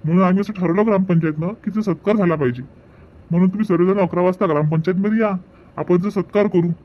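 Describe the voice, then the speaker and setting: A man's voice: high-pitched, fast talker, dull audio, natural voice. A man voicing an earnest, community-minded village headman in a cartoon, speaking folksy, repetitive rural Vidarbha Marathi like someone making a little speech. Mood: proud, encouraging. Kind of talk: hobby cartoon voice-over, village dialogue.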